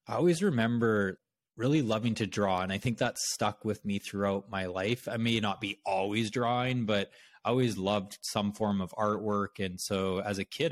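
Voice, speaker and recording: A man from Canada with a Canadian accent, talking casually and conversationally as a podcast host. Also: fairly low pitch.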